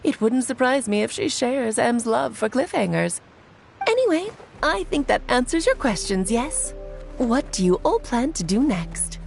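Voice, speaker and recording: Elegant game witch: fairly high, lively melodic delivery, playful and knowing. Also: unhurried, creaky, soft background music, full character voice. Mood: teasing. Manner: teasing, poised, theatrical. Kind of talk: video game character acting.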